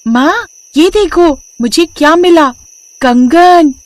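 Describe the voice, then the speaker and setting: Lady doing 10 yr old girl in a kids story, high voice, eager, begging sweetly. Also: slow, no room sound, exaggerated voice. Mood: delighted. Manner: excited, pleading. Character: eager ten-year-old village girl, sweetly wheedling. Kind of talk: Hindi animated moral story.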